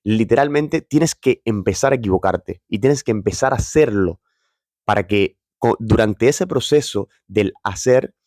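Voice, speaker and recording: A man's voice: lowish voice, unhurried, darkish tone, dry recording, clean studio sound. A man giving an earnest, encouraging reflection straight to camera, admitting he is nervous about speaking on video. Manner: earnest and encouraging, addresses viewer directly. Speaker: motivational video host.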